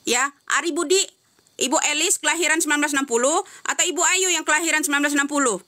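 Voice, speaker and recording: A woman's voice: high-pitched, very fast, bright, forceful tone. A woman giving heated live-stream commentary, answering comments with insistent, repeated rhetorical questions.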